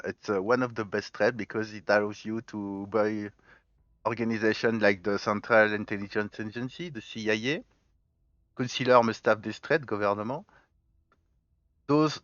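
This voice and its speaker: Low-pitched male let's play host with a mixed French and Spanish accent, walking through choices out loud, on a dull, dry mic. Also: no echo. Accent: mixed French, Spanish and Galician. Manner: thinking aloud, explaining step by step. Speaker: gaming channel host.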